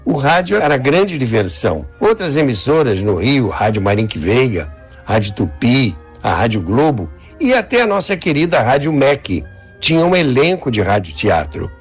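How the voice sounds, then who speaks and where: Husky, animated older Brazilian man. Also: medium pitch, normal speed, faint music behind. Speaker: interviewee.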